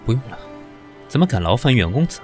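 An actor playing a proud, sarcastic senior executive who is weak from fever and answers in cold, cutting remarks. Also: male voice, mid-range voice, very animated, quick pace, faint music behind, natural voice. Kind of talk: radio drama acting.

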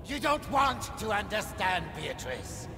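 An actor playing an elderly fanatical high churchman and megalomaniac villain in a dramatic video game, grandiose, swinging from mocking softness to roaring. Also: very animated, loud music behind the voice, heavily acted. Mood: mocking, weary. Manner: slow, grandiose, mockingly soft then roaring.

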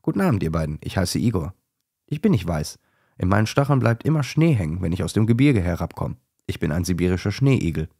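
Friendly male snow hedgehog played by a man, Russian accent, low and rough, children's audio story. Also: very animated, fast talker, warm tone, full character voice.

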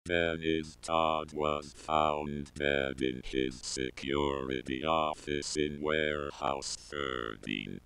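A man playing a deliberate announcer in an analog horror tape narration. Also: a bass voice, a bit bright, clear, resonant tone, full character voice.

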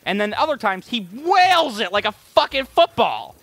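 A man's voice: extremely high pitch, very animated, normal speed, a bit bright, no echo. A man doing casual gaming commentary, joking, teasing and swearing freely. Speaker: let's play host.

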